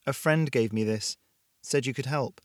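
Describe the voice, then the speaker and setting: A nervous, stammering man, skeptical and hesitant, sounding slightly raised in pitch, as a narrator plays him. The recording is close and dry. He halts and restarts often. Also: normal speed, no echo, lightly acted.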